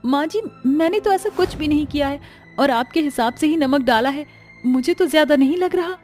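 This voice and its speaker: A woman voicing a timid young newlywed bride who pleads and defends herself in a high, strained voice. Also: moderate pace, a little mellow, faint music behind, lightly acted. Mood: pleading.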